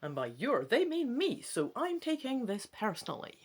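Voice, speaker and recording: A woman's voice: a little high, moderate pace, breathy edge. A woman hosting a solo gamebook let's play, mixing dramatic read-aloud with chatty, musing asides. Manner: chatty, musing asides between expressive reading.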